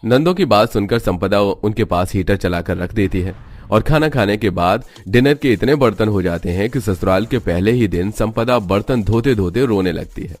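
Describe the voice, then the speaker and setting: A man's voice: fairly low pitch, normal speed, darkish tone. A man giving scripted narration for a cartoon family story as its narrator.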